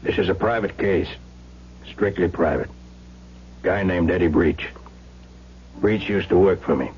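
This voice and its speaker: Gruff mob boss on old radio show, deep voice, barks short orders, muffled sound. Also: male voice. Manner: curt, domineering, clipped. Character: gruff, impatient male crime boss, bossy and suspicious. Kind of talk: old-time radio crime drama acting. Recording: muffled, noisy old radio drama recording.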